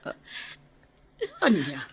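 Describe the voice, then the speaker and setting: A woman's voice: extremely slow, darkish tone. A woman playing a worried, questioning mother in an audio drama, fretful and probing, with a northern Philippine accent.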